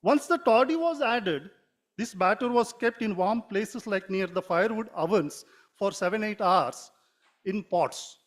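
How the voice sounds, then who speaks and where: Indian English man, high-pitched, native Konkani speaker, measured formal reading with small self-corrections. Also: lively intonation. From Mangalore, India. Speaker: writer giving a talk. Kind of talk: formal prepared speech.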